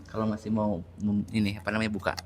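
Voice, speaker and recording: A man with an Indonesian accent casually moderating a group question session, teasing and quick to chuckle. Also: on the low side, flat delivery, slight background noise. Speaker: moderator of a tour briefing. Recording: echoey room pickup.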